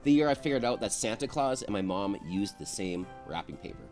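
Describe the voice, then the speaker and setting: A man's voice: lowish voice, expressive, a little fast, a little mellow, soft background music. A man telling personal stories in a casual, chatty style on a panel show. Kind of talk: casual chat, personal storytelling.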